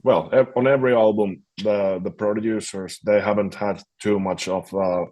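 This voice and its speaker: A man's voice: on the low side, steady tone, moderate pace, mellow. A man, a metal guitarist, talking casually in an interview over a video call and often repeating words as he thinks. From Finland.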